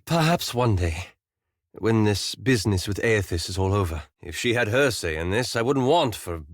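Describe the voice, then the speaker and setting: An actor playing a reserved, courteous elf adventurer in a video game, speaking formally and articulately in a guarded, hesitant way. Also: male voice, fairly low pitch, animated, normal speed, no room sound, studio quality. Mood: reserved, earnest. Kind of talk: scripted video game character dialogue.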